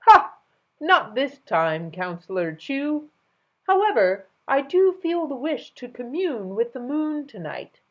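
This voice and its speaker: A woman's voice: high voice, big pitch swings, slow pace, dull audio, voice pushed up, heavily acted. A woman voicing a playful, commanding king, an amused and imperious man who speaks with emphatic, regal decisiveness. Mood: laughing, mocking.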